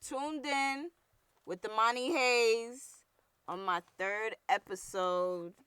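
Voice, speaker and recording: Rough-voiced New York woman, forceful. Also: takes it slowly, a little hiss or hum. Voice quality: deep, rough voice. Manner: blunt, slangy and heated. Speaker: podcast host. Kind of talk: casual solo commentary.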